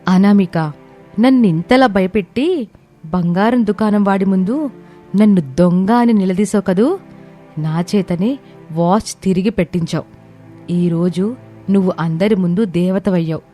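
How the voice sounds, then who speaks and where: Woman voicing a scheming villainess, jealous, menacing, emphatic, mellow, family story drama, faint music. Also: medium pitch, measured pace, pauses often, close to an everyday voice. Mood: vengeful menace.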